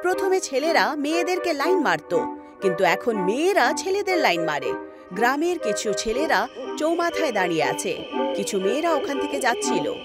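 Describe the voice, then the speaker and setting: Woman telling a spooky story, highish voice, swings up and down, loud music under it. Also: very animated, normal speed. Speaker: story narrator. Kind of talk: dramatic scripted horror narration.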